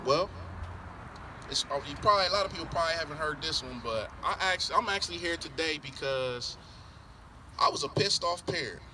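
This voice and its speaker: Middle-aged guy from columbus ohio running for school board, emphatic, keeps repeating himself, loud traffic noise outside. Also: fairly high pitch, expressive, brisk. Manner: emphatic, repeats points, frequent restarts. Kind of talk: answering interview questions. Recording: noisy outdoor recording with traffic.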